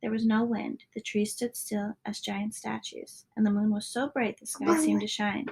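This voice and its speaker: A woman reading a children's story aloud as a narrator, sometimes dropping into a hushed tone at the ends of lines. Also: medium pitch, fairly even intonation, moderate pace, gravelly, breathy edge, low-quality recording. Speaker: storybook reader.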